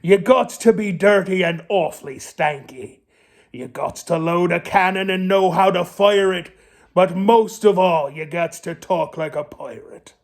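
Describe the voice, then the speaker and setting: A man voicing a cranky, blustering pirate captain in a storybook, with slow, salty pirate speech. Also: sits high, vocal fry. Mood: demanding.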